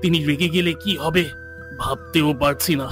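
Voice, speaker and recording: A man acting as a worried henchman guard in a cartoon fairy tale. His voice is fairly high but very even in pitch, deferential and fearful, with music faintly behind. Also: moderate pace, lightly acted. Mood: alarmed, worried. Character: anxious male guard serving an evil sorceress.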